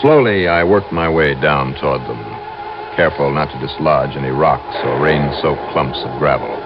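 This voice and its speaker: Tough old-time radio detective, deep voice, clipped and dramatic, muffled old recording w music behind. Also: male voice, moderate pace. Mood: grim narration. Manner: clipped, hard-boiled, dramatic. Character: tough, determined male investigator narrating his own case.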